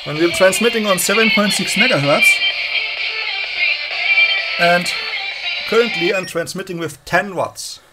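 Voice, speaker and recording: A man casually presenting a hands-on electronics demonstration in a halting, explanatory way, recorded on a handheld camera in a room at home. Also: sits high, over loud music, low-quality recording. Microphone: handheld camera held by the speaker.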